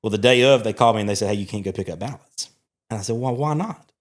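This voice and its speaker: A man's voice: fairly low pitch, fast talker. A man from Alabama, a chaplain hosting a podcast and telling stories in a folksy, chatty way. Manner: folksy storyteller, chuckling asides. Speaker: podcast host, church chaplain. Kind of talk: casual interview.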